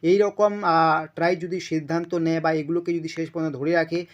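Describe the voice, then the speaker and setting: A man's voice: a little high, little pitch movement, fairly quick. A man presents an informal news explainer, speaking emphatically, repeating himself and checking in with his listeners. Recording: dull lo-fi sound.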